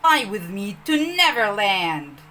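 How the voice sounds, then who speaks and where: A woman voicing an adventurous, eager young boy who can fly, in an emphatic, excited storybook reading. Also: mid-range voice, slow pace, a little hiss or hum, heavily acted. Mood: urging. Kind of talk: children's storybook character reading.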